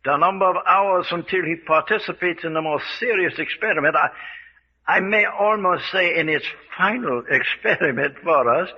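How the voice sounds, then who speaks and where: An actor playing a glib, coldly fanatical scientist with a pompous, precise manner in an old radio mystery serial. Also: male voice, fairly high pitch, normal speed, slightly rough. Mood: gleeful relish. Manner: pompous, precise, chuckling over grim matters. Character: glib, coldly fanatical male scientist, relishing fear experiments. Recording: dull lo-fi old radio recording.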